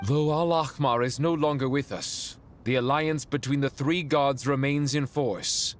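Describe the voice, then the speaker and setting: A man's voice: mid-range voice, fairly even intonation, a little slow, slight background noise, lightly acted. A man voicing a dignified, loyal servant of an ancient king in a video game, with formal, stately speech. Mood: dignified, resolute. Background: background music.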